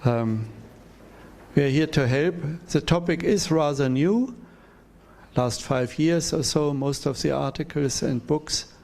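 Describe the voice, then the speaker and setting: Measured male professor giving feedback. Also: a little high, gravelly, darkish tone. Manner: measured, direct, advising. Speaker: professor, discussant. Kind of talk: academic critique at a conference.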